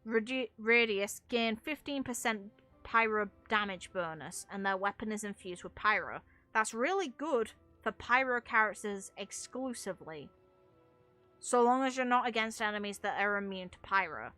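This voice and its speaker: Female game streamer, bright medium-pitched voice, casual opinionated rambling, music in the background. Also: expressive, normal speed. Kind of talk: casual gaming commentary.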